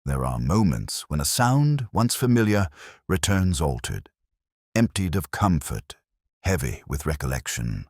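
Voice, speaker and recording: A man playing a weary, melancholy, razor-minded police inspector, narrating a detective audio drama in a measured, literary, brooding way. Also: extremely low pitch, very animated, a little mellow, no echo, clean studio sound, heavily acted. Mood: brooding, reflective.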